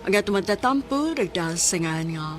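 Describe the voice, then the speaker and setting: A woman announcing and introducing a radio drama in a formal, measured way. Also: medium pitch, animated. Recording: announcement over music.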